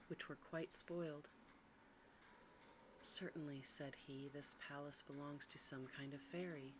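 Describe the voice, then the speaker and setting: A woman reads a bedtime story in ASMR style, in a soft, breathy, hushed and soothing whisper. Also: low voice. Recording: clearly audible background noise.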